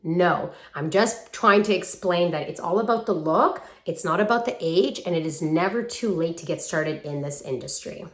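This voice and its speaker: A woman's voice: on the low side, normal speed, few pauses. A woman in her twenties giving casual talking-head advice to viewers in a chatty, emphatic, affectionate way, recorded on a handheld phone. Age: 20s. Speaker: vlogger and acting coach. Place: room at parents' home, brick wall. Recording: roomy echo from a hard-walled room.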